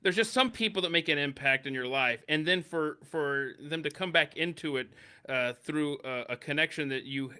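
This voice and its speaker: Bright, higher-pitched man chatting emphatically. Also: moderate pace, clear, resonant tone. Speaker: podcast host. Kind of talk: casual reminiscing chat.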